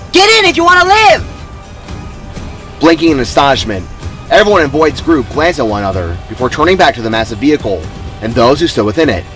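A man's voice: medium pitch, fairly bright tone. A man reading dramatic scripted story narration as its author.

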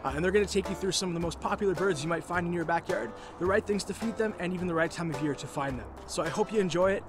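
Male host, thirties, quick and high-pitched, series intro over music. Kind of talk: upbeat scripted-style introduction to camera. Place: outdoors in snowy woods.

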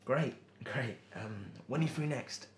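A man's voice: medium pitch, measured pace, breathy edge. A man playing an obsessive, lovelorn artist in an audio drama, speaking hesitantly and with awkward politeness. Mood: nervous, hesitant. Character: nervous, obsessive lovelorn male artist narrating his longing. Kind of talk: dramatic monologue and phone dialogue.

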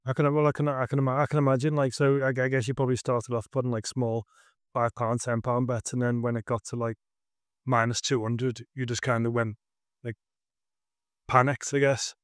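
Smooth, even-toned male podcast host. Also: medium pitch, a little fast, no echo, studio quality. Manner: thoughtful, tentative follow-up questions. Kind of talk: interview questions. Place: small indoor room. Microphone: video call with earbuds.

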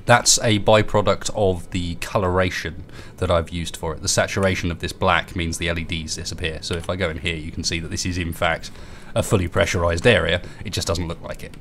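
A young man with a very low, deep voice talks through a gameplay tour at a desktop mic. He sounds stuffy and sniffly from a cold. His delivery is loose and rambling, with a self-deprecating ease. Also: steady tone, a little fast, fairly bright tone, a little hiss or hum. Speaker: gaming YouTuber. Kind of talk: casual game build tour.

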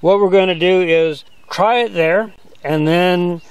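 A man's voice: high voice, a little slow, smooth, no room sound. Easygoing older man demonstrating outdoors. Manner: plain, easygoing step-by-step explaining. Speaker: sawmill instructor. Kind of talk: hands-on demonstration. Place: outdoors on a grassy lawn.

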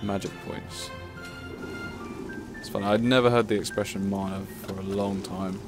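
A man's voice: sits low, fairly even intonation, normal speed. A man casually commentating his gameplay, chatty and self-mocking, swearing at himself when stuck. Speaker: let's play commentator. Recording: game music playing behind.

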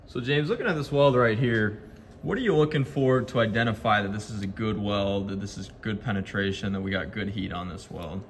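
A man's voice: lowish voice, brisk, a little hiss or hum. A man in a welding workshop who asks leading questions and then explains the technique step by step in a casual demonstration.

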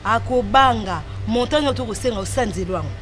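A woman's voice: fairly high pitch, expressive, a little fast, a bit bright, faint music behind. Scheming young Congolese woman. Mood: persuasive, scheming. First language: Lingala. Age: young adult. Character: scheming, outspoken young woman advising her friend. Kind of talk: filmed Congolese popular theatre dialogue. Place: outdoors in a walled garden.

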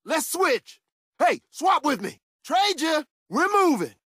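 An actor playing a tough, confident soldier in a video game, delivering punchy, swaggering combat lines. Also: male voice, very high voice, sing-song, takes it slowly, fairly bright tone, dry recording, studio quality, heavily acted. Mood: urgent, rallying. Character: tough, confident male soldier, upbeat battlefield fighter.

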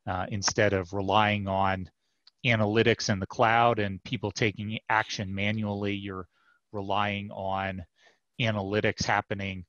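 Product guy in his thirties, video-call headset sound, thoughtful and halting. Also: on the low side, flat delivery, fairly bright tone, no room sound. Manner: thoughtful, many fillers and restarts. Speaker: software product manager, guest. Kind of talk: casual interview answers. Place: home office with bookshelves. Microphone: headset mic on video call. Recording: dull dry headset audio.

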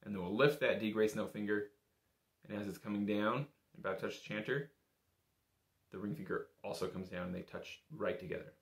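Low-voiced man teaching in an echoey room. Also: fairly even intonation, fairly quick, creaky. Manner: encouraging, informal, patient. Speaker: bagpipe teacher. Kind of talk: casual step-by-step instrument lesson. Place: small indoor room.

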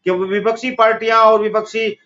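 A man's voice: little pitch movement, normal speed. Middle-aged male pundit on a webcam call, emphatic and insistent, muffled lo-fi audio. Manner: forceful, rising to high emphatic peaks. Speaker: political commentator. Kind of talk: animated political debate on a video call. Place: office room, indoors. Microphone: video call webcam. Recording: dull lo-fi call audio with faint noise.

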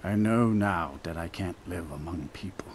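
A remorseful werewolf played by a man pleads in a video game. His voice is fairly low and resigned. There is faint noise around it. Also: moderate pace, natural voice. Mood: remorseful pleading. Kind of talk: video game dialogue acting.

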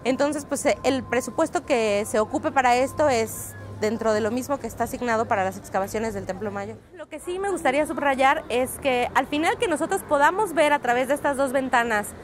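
High-voiced Mexican woman reporter outdoors. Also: animated, brisk, few pauses, faint music behind. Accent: Mexican Spanish. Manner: fluent and explanatory, with frequent "eh" fillers. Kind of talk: street interview. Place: outdoors on a busy city street. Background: faint street ambience.

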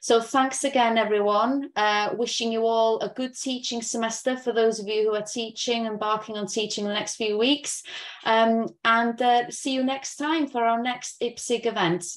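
A woman's voice: a little high, steady tone, moderate pace. Young woman wrapping up a zoom session, chatty, laptop mic. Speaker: event host. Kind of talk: closing remarks on a video call.

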